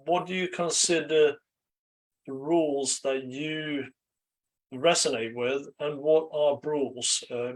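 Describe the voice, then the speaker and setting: Thoughtful, halting man from Sweden. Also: on the high side, poor audio. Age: middle-aged. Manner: halting, thoughtful, often checks with "right?". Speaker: podcast guest, life coach. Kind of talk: reflective interview conversation.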